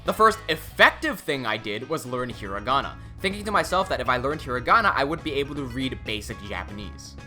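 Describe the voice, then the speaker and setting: A man's voice: medium pitch, very animated, fairly quick, few pauses, music in the background. A young man whose first languages are English and Cantonese, talking candidly and self-mockingly in a casual vlog, recorded in a small room. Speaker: language-learning YouTuber.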